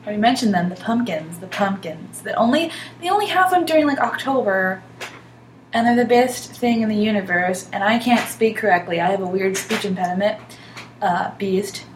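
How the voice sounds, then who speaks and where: A woman's voice: mid-range voice, animated, normal speed. A young woman in casual podcast chat, rambling in an offbeat, dryly joking way. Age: young adult. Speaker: podcast co-host or guest. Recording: roomy echo, faint background noise.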